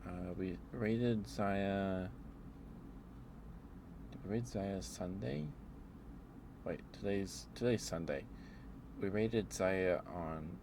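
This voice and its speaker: A man livestreamer chatting casually in an ASMR stream, rambling in a hesitant, unhurried way. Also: deep voice, gravelly, noisy background.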